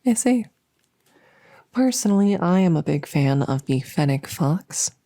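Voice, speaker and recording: Girl doing a nervous lady monster under the bed, slow n rambly, low creaky soft voice, asmr roleplay. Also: expressive. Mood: friendly, winding down. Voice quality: soft, often slipping into whisper. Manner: rambling, nervous, stammering and repeating herself. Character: anxious, overworked, friendly female monster under the bed. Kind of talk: whispery comedic ASMR audio roleplay.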